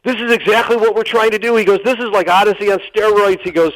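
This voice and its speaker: A man's voice: sits high, steady tone, quick pace, fairly bright tone, dry recording, poor audio. A middle-aged man telling a personal story as a radio interview guest, earnest and dramatic, acting out the dialogue and repeating phrases for emphasis.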